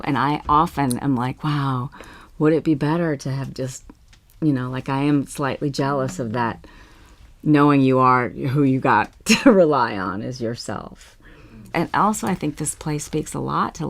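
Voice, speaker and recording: A woman on a discussion panel, talking casually and personally, thinking aloud in a halting, effusive way. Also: deep voice, gravelly.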